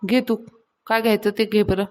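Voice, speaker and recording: A woman's voice: mid-range voice, fairly even intonation, moderate pace, close to an everyday voice. Woman voicing a stubborn, food-loving cartoon village woman. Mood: breezy. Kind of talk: Marathi comedy cartoon dialogue.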